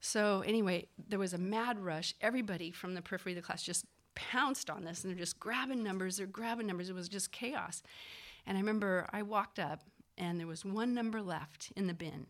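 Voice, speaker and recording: A woman, a physician assistant, telling a personal story in a casual, animated way, acting out the people she quotes, with lots of fillers. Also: mid-range voice, fairly quick.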